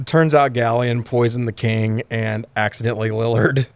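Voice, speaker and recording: A man's voice: medium pitch, steady tone, measured pace, clean tone, dry recording. A man co-hosting a casual, comedic movie recap podcast with an irreverent, heavily profane way of talking. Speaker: podcast co-host.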